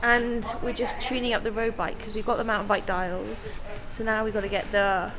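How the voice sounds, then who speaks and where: A woman's voice: mid-range voice, flat delivery, a little fast. A young woman, a pro mountain biker, chatting casually and jokily behind the scenes at a bike fitting indoors. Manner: bubbly, jokey, laughs often. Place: indoor hotel room during bike fitting. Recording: music bed underneath.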